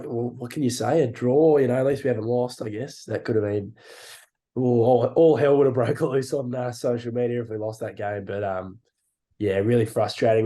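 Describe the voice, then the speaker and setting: A young Australian man with a mellow, low-key voice, talking footy on a podcast. He's blunt and frustrated, drops slang like mate and reckon, and swears freely. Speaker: podcast co-host. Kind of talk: casual sports chat.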